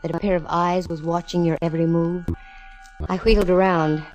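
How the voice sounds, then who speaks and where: A woman performs a female gumshoe from an old radio serial. Her voice sits on the low side, with a dry, unflappable edge. She delivers wry hard-boiled lines in period radio style. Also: level tone, moderate pace, a little mellow, faint music behind, natural voice, rough recording. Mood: hard-boiled narration. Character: cool, wisecracking female private eye. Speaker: lead actress in radio detective show. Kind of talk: 1940s radio acting, first-person narration. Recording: vintage 1940s radio recording.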